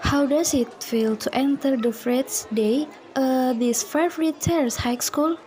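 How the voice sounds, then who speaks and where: A woman's voice: high voice, measured pace, darkish tone, faint music behind. A young woman playing a cheerful, motherly homeroom teacher in a student-made radio drama. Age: young adult. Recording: noisy amateur recording, faint chatter.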